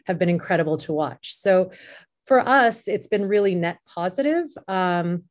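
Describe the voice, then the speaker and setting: A woman's voice: fairly low pitch, expressive, normal speed. A woman speaking as a festival director in an informal panel discussion, fluent, upbeat and conversational.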